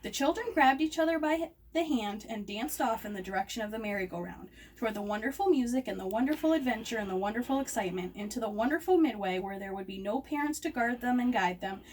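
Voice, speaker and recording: Higher-voiced woman reading aloud, distant and noisy. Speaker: storyteller reading a children's book aloud. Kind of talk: expressive read-aloud narration. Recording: distant microphone, noisy room ambience.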